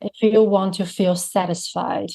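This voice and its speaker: A woman with a Mandarin accent, a native Mandarin speaker, talking slowly and thoughtfully as a guest in an interview. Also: medium pitch, little pitch movement, gravelly, mellow, poor audio. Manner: slow, thoughtful, searching for words. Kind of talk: reflective interview conversation.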